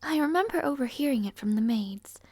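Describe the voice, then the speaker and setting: A woman's voice: high-pitched, expressive, brisk, darkish tone, dry recording. A woman playing a rude, arrogant but somewhat shy young princess in an audio roleplay, speaking in a formal, languid, world-weary way. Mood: curious, musing. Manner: haughty, languid, sighing, formal wording. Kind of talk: scripted audio roleplay acting, addressing the listener. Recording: close, dry, no ambience.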